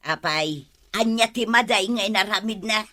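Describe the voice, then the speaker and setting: A woman's voice: medium pitch, moderate pace, pushed and bright, natural voice. A woman acting an exasperated, scolding village wife in an Ilocano comedy drama, with an Ilocano accent. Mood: dry, skeptical.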